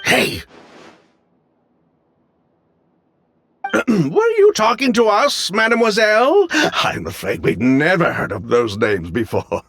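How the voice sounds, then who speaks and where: An actor playing a slick, evasive merchant in a video game, speaking with formal, condescending politeness. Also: male voice, lowish voice, sing-song, slow, gravelly, fairly bright tone, slight background noise, exaggerated voice. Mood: feigned innocence. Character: slick, evasive merchant brother, smooth-talking ex-convict swindler. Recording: music under the voice.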